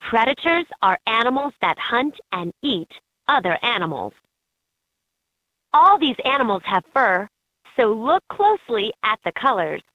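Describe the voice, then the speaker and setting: A woman voicing an encouraging guide in a children's educational game, narrating in a clearly enunciated, instructive way. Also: fairly high pitch, bright, forceful tone, no echo, full character voice. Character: encouraging female guide in a kids' animal learning game. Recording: muffled lo-fi handheld game audio clips.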